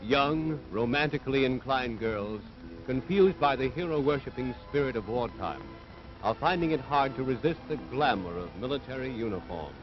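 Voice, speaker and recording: A man's voice: medium pitch, expressive, normal speed, over music. An American man delivering scripted 1940s propaganda film narration in an earnest, authoritative, moralizing manner. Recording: dull vintage 1940s film soundtrack.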